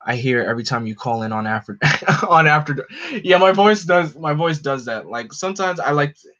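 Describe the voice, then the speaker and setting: A young man with a deep voice hosting a casual livestream, rambling with lots of fillers and answering his chat. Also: expressive, normal speed, fairly bright tone. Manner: rambling, lots of "like" and "you know". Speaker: livestream host. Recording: dull lo-fi stream audio.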